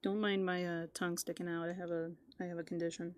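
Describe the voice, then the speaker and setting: A woman chatting softly and casually in a whimsical, musing ASMR roleplay as a playful raccoon character, close to the microphone. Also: fairly low pitch, level tone, fast, background noise.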